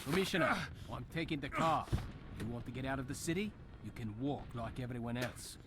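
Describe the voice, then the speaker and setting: A man's voice: animated, fairly quick, close to an everyday voice. Man playing a blunt, wry ex-cop driver. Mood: defiant. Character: gruff, decent, wry male ex-cop driver. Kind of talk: game audio drama acting. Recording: loud rumble and vehicle noise.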